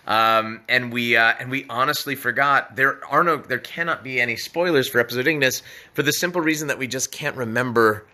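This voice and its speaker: A man's voice: mid-range voice, fairly quick, pushed and bright. A man hosting a casual livestream from his living room while a guest plays, upbeat, laughing often and asking questions. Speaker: streamer host.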